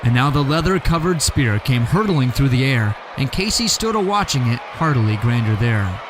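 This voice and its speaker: A man giving a dramatic recitation of a poem, with big swings in pitch and pace and bursts of shouting. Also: animated, moderate pace, background noise. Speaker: poetry reader.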